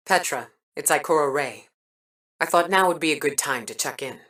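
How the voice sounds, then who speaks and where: A woman's voice: low-pitched, moderate pace, fairly bright tone, roomy sound, recorded from a distance. A woman voice actor playing a composed, earnest commander and mentor in a video game.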